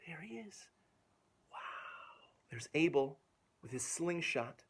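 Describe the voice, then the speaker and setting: A man reading a rhyming picture book aloud to young children in a playful, expressive way with dramatic emphasis. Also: on the high side, slow pace, slightly rough. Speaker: children's storyteller.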